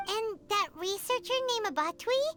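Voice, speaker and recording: Squeaky, very high-pitched childlike companion. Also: female voice, animated, normal speed, fairly bright tone. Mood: curious. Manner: perky, refers to self in third person. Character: tiny floating childlike female companion, excitable and curious. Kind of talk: video game character acting. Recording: music behind the voice.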